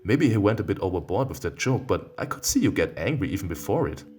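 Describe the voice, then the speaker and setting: A man voicing the gentle, teasing protagonist of a furry visual novel, reasoning in a soft-hearted way. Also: deep voice, sing-song, fast talker. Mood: gently reproving.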